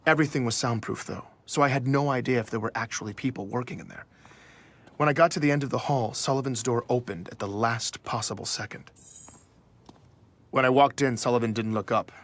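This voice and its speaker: A man's voice: medium pitch, fairly bright tone, lightly acted. Nervous journalist-narrator, played by a man, mid register, self-conscious, for a sci-fi audio drama. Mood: measured, uneasy. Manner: measured narration, hesitant in dialogue. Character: nervous male investigative journalist narrating his own story. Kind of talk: scripted first-person audio drama narration.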